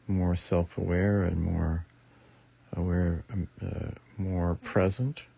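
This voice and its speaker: Slow, low-pitched man guiding meditation. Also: gravelly, warm tone, breathy edge. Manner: soothing, unhurried, with long pauses between short phrases. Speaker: meditation teacher. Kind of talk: guided meditation, improvised soft instruction.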